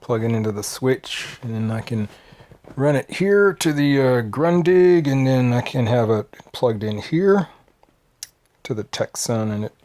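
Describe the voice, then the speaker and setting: A man gives a casual, unscripted walkthrough as a hobbyist host. His voice sits at an average pitch, and he speaks slowly. His delivery is hesitant, with frequent pauses as he goes. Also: animated, a little mellow. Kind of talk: casual unscripted show-and-tell. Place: at home indoors, later outside in a yard. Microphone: handheld phone.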